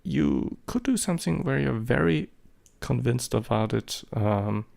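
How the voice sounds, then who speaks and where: A man's voice: medium pitch, expressive, measured pace, vocal fry, a little mellow. A man in his thirties, a native German speaker talking in English with a German accent, giving casual, rambling commentary with many hesitations and fillers. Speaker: photography vlogger.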